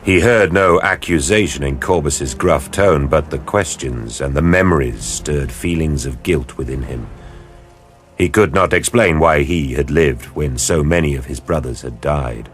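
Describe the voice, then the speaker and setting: Very deep-voiced man narrating drama. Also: faint music behind. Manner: grave, measured.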